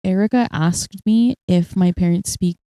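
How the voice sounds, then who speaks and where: A young woman co-hosting a casual chat podcast, telling stories in an animated way and acting out what people said. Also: on the low side, moderate pace. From United States. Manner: storytelling, animated, acts out quotes. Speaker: podcast co-host.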